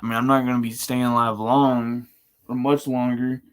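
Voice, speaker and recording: A man with a monotone, chill voice, rambling casually and slangily as a live streamer. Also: medium pitch, normal speed, smooth. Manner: laid-back, chill. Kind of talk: casual livestream chat.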